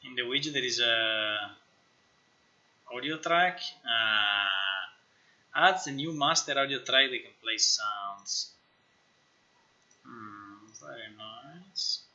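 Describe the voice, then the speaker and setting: Sharp, bright-voiced man thinking aloud, slow-paced. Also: medium pitch, lively intonation. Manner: halting, musing, talks to himself. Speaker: game developer live-streamer. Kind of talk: casual live screen-share commentary, thinking aloud. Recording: slightly dull, lo-fi stream audio.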